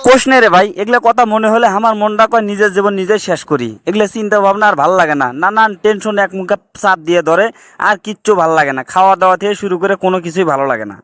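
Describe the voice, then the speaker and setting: A man's voice: high voice, fast talker, a bit bright. A man voicing a worried, despondent migrant worker in a comic cartoon, talking plaintively in colloquial northern Bangladeshi dialect. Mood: despairing.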